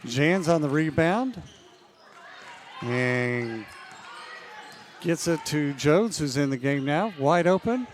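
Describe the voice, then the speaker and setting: Guy calling a basketball game, slow and steady, kinda high voice, going hoarse, faint gym sounds. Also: slight background noise. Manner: steady, measured calls that rise on big plays. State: losing his voice, clearing throat. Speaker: play-by-play announcer. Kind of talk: basketball play-by-play commentary.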